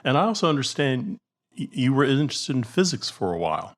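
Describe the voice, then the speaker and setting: A man co-hosting a podcast, talking in a relaxed, friendly way. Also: medium pitch, fairly quick. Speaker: podcast co-host.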